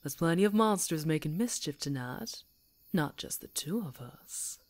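A woman playing a female werewolf in an intimate ASMR roleplay, predatory yet tender, coaxing in a breathy voice that often drops to whispers. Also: low-pitched, expressive, normal speed. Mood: affectionate, playful.

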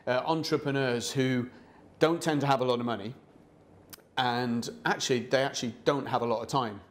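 A man's voice: medium pitch, steady tone, normal speed. Upbeat middle-aged male show host. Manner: upbeat, friendly presenter. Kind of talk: hosting a business interview. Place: studio set with orange backdrop.

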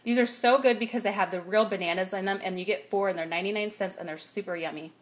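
A woman's voice: medium pitch, fast talker. A woman in her thirties chatting casually through a grocery haul, filming on her phone indoors at home and reading prices aloud between fillers. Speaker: vlogger. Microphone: phone camera held close.

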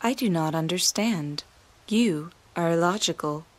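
A coldly logical woman in a fantasy game. Her voice sits on the low side. She phrases everything formally and literally, with no emotion. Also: measured pace. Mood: cool, detached. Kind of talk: video game voice acting.

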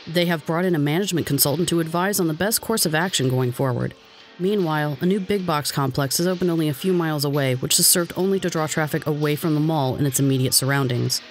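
A man with an American accent reading scripted documentary narration in a measured, informative way. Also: on the high side. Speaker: video narrator. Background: background music.

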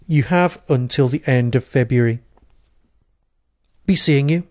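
A man's voice: mid-range voice, a little slow, darkish tone, a little hiss or hum. A man with a Geordie accent hosting a podcast interview, talking eagerly and tripping over the first words of his sentences. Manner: enthusiastic, repeats words when starting. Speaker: podcast host. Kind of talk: casual interview chat.

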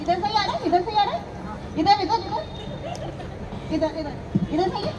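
Woman acting urgent, very high-pitched news reporter. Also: big pitch swings, normal speed. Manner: urgent, insistent, repeats phrases. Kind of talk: stage imitation of breaking news reporting. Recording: distant echoey stage, crowd and noise.

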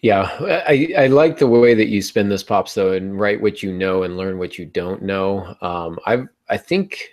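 A man's voice: low-pitched, a little slow, hardly any pauses. A middle-aged man hosting a casual discussion over a video call, informal and given to joking asides. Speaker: podcast host. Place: home office indoors, video call.

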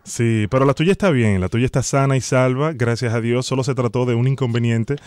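A man speaks at a medium pitch into a close studio microphone. He plays an apologetic official on a prank call, hesitating and stumbling over his phrases. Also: brisk, darkish tone, no echo. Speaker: prank-call host posing as a hospital doctor. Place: small indoor home studio. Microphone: close studio microphone on arm with headphones.

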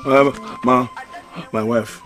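Flustered village husband, Nigerian pidgin, halting peacemaker, mid-pitched, music faintly behind. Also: male voice, steady tone. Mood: earnest explaining. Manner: halting, repetitive pidgin delivery. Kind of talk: film drama acting.

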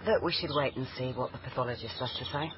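A woman's voice: very deep voice, quick pace. Guarded British policewoman, muffled sound. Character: guarded, careful female police liaison officer. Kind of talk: naturalistic radio drama acting. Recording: dull recording, faint background noise.

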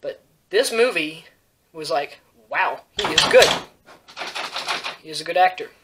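A young man giving a casual movie review to camera in a bedroom, halting, rambling and self-deprecating. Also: a little high, lively intonation, heavy background noise. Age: young adult. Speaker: host of a movie review show. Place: small bedroom, indoors.

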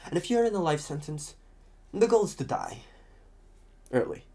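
A man playing a talkative, restless psychopath convict in an ASMR roleplay, protective and scheming, with halting, muttered asides. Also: on the high side, big pitch swings, gravelly, dark tone, full character voice. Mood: insistent, protective.

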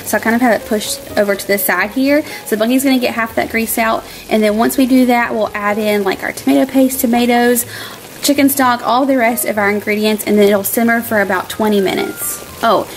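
A woman's voice: on the high side, fairly even intonation, a little fast, rough recording. A woman in her thirties hosting a home cooking vlog in a chatty, informal way, narrating casually as she goes. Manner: chatty, informal, laughs easily. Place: home kitchen.

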